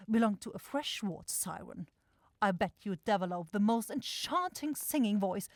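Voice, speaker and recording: A woman's voice: mid-range voice, darkish tone, no echo, clean studio sound. A woman playing a self-assured, impatient demon shopkeeper in a fantasy ASMR audio roleplay, with a theatrical, coaxing salesy manner. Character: bossy, salesy demon-like soul shop keeper sister, impatient.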